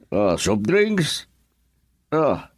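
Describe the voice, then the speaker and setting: An actor with an Ilocano accent playing an unhurried, jovial male boss in a radio drama. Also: medium pitch, huge pitch swings, dry recording, studio quality, heavily acted.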